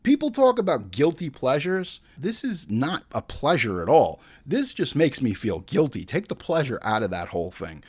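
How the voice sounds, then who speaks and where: Male review host, mid-pitched and highly animated. Casual, comedic, foul-mouthed and exasperated, with emphatic slangy asides. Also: normal speed, few pauses, slightly rough. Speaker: host of a movie review show.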